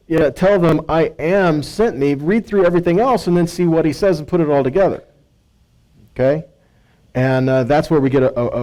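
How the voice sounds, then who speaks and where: A man's voice: fairly high pitch, dark tone, poor audio. A man giving an informal Bible teaching, reading scripture and putting rhetorical questions to his listeners.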